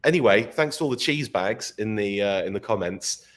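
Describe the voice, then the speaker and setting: A man hosting a live podcast stream, his voice croaky from illness, chatty and laughing often. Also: lowish voice, expressive, normal speed. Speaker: podcast host. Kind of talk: casual live-stream chat.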